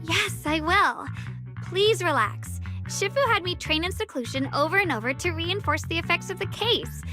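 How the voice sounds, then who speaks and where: Earnest young swordswoman, high bright voice, reassuring, game dialogue over music. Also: animated, moderate pace. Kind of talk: video game voice acting.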